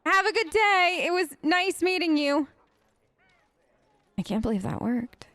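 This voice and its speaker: A woman hosting a casual role-play let's play, talking in a playful, theatrical, halting way as her character. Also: very high voice, big pitch swings, a bit bright, no echo. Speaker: gaming host, role-playing as her character.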